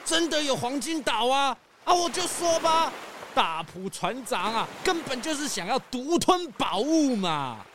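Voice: sits high, sing-song, unhurried, background noise. Actor as a brash, swooping male schemer. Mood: excited, accusing. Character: brash, scheming, defensive man, greedy for gold. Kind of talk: children's detective radio drama acting.

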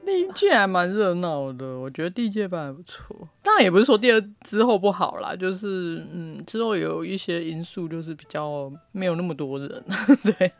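A woman's voice: lowish voice, expressive, a little fast, hardly any pauses, no echo. A woman with a Taiwanese accent in a casual podcast chat, speaking with wry, joking understatement. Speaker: podcast speaker on self-publishing.

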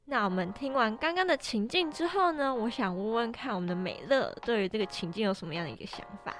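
A woman's voice: fairly high pitch, animated, fast talker, faint music behind. A woman co-hosting a podcast, discussing casually. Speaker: podcast co-host.

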